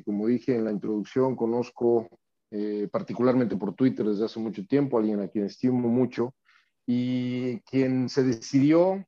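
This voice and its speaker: A man's voice: medium pitch, dark tone. A man with a Mexican Spanish accent, hosting a podcast interview in a casual, friendly way, often repeating words as he goes. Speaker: podcast host. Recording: slightly muffled audio.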